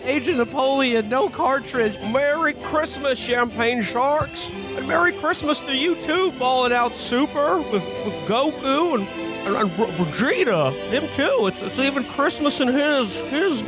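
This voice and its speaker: A man plays a melodramatic everyman podcaster in a comic radio play. He pitches his voice very high and stammers theatrically. Music runs behind him. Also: lively intonation, measured pace, low-quality recording. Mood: overjoyed. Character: distraught, melodramatic podcaster shown a world without him. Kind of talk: comedic radio play acting.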